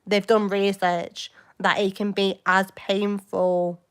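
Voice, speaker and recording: A woman's voice: medium pitch, level tone, takes it slowly, fairly bright tone, clear, resonant tone. A woman, chatting casually and rambling to viewers on a livestream, full of hesitations and jumps between topics. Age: 20s. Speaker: livestream host. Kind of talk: casual live chat with viewers.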